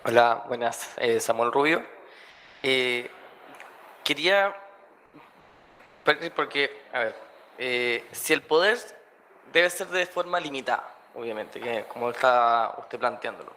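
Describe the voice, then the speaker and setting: A man, a student, asking a question in class haltingly, with many fillers. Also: mid-range voice, bright, forceful tone, slight background noise, rough recording.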